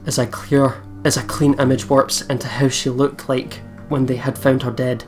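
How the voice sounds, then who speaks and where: A woman's voice: rumbling low, flat delivery, moderate pace, a little mellow. A woman hosting a let's play, reading a visual novel aloud in a dramatic, reflective manner. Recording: game music behind.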